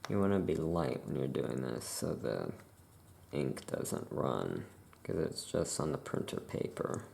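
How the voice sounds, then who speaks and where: A soft-spoken ASMR creator musing to themselves while doing crafts, with writing sounds and faint noise behind. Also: very deep voice, sing-song, unhurried, creaky.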